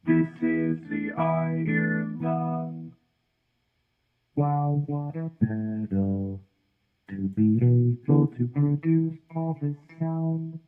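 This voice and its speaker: A man's voice: on the high side, animated, very slow. A man demonstrating an effects pedal, his speech turned robotic by a guitar-driven vocoder. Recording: muffled vocoder output under loud guitar. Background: loud electric guitar chords.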